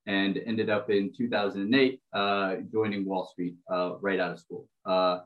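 A man's voice: deep voice, moderate pace, dull audio. A man in his thirties from Brazil with an American accent, a podcast guest talking casually with lots of ums and uhs. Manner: relaxed, many filler ums and uhs. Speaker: podcast guest, finance executive. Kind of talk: casual interview chat.

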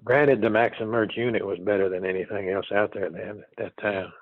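An elderly man in his eighties talks in a low voice with a dry, close sound. He is folksy and halting, often doubling back on words. The delivery is that of someone reminiscing in a relaxed interview. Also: dry recording, phone-quality sound. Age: 80s. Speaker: interviewee, retired farm machinery dealer.

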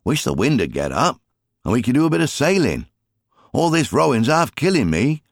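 A man's voice: medium pitch, sing-song, moderate pace, dry recording, clean studio sound, pitched above the performer's own voice. A man voicing a weary, grumbling fisherman father in an audiobook, with colloquial working-man turns of phrase. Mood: reluctant, weary. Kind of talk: audiobook character dialogue.

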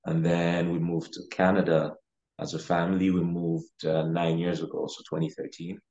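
A young man from Uganda talks through his investing history as a podcast guest, loose and unhurried, full of "uh" and "you know." His voice sits low and level, with little rise or fall. The recording is a bit dull and muffled. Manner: relaxed, with frequent fillers like "you know" and "uh". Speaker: guest real estate investor. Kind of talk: casual interview talk.

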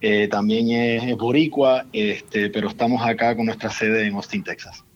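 A Puerto Rican startup founder, a man, giving a polished pitch with filler ums in a casual interview. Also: fairly even intonation, moderate pace, highs cut off. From Puerto Rico. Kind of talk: casual interview, switching Spanish and English.

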